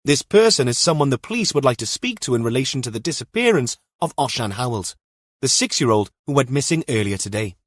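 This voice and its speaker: An earnest male TV news correspondent acted by an audiobook narrator, pitched up and projected. He reports live from the scene in formal, urgent tones, with a very dry, close sound. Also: expressive, fairly quick, no room sound, close to an everyday voice.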